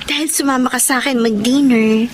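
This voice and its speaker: Woman acting a high-voiced, flirty smitten fan. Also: fairly even intonation, a little fast, noisy background, lightly acted. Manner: giggly, gushing, mixes in English. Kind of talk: Filipino radio drama acting.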